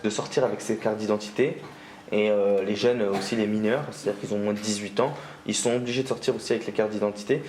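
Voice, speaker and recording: A man giving an interview, calmly explaining. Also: fairly low pitch, fast talker. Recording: roomy with music behind.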